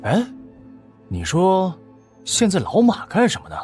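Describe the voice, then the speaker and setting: A man's voice: high voice, huge pitch swings, unhurried, darkish tone, faint music behind, exaggerated voice. A man playing a scheming, resentful gang fixer who fawns on his betters in an audiobook drama. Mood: brooding, commanding. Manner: obsequious on the phone, bitter in private.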